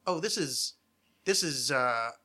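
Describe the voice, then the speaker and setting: A man's voice: medium pitch, animated, takes it slowly, pushed and bright. A man in his thirties chatting casually and ramblingly as a podcast host, with a wry, mock-dramatic, self-deprecating manner.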